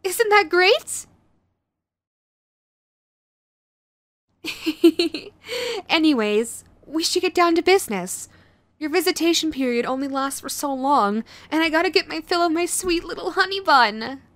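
A woman playing a sweet, clingy yandere girlfriend in an audio roleplay, nervous and halting, gushing and apologetic as she tries to reform. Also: high voice, lively intonation, a bit bright, dry recording. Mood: hesitant, hopeful. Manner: halting, gushing, apologetic, swinging between eager and sheepish. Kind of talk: romantic audio roleplay, acted to the listener.

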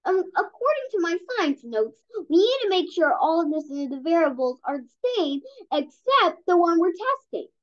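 A young-sounding host reading a children's science comic aloud, stumbling over and repeating words. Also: very high-pitched. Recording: dull lo-fi recording.

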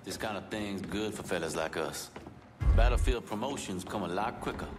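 A man's voice: lowish voice, moderate pace, close to an everyday voice. An actor playing an ambitious, opportunistic mob henchman, chatting in a casual, colloquial way. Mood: scheming. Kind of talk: video game henchman banter. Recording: game scene with music behind.

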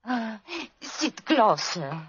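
An actress playing a flirtatious, imperious French circus star in 1940s radio style, with a coy, theatrical, drawn-out delivery and a French accent. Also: female voice, fairly high pitch, sing-song, a little hiss or hum, exaggerated voice. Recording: muffled old radio recording with faint music.

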